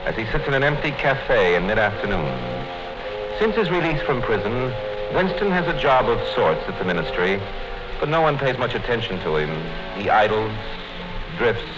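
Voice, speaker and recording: A man giving grave, measured scripted narration for a radio play. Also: mid-range voice. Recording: dull 1950s radio broadcast with music.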